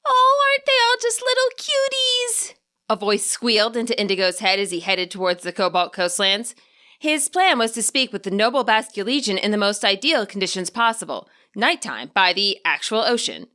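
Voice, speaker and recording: Bright-voiced woman narrating a story. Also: on the high side, clear, resonant tone. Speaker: fan fiction author and narrator.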